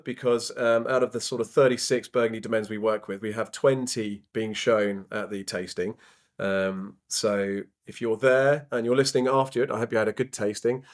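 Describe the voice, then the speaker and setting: A man hosting a wine merchant's podcast, introducing colleagues in a casual, halting way with many ums and restarts. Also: medium pitch. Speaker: podcast host.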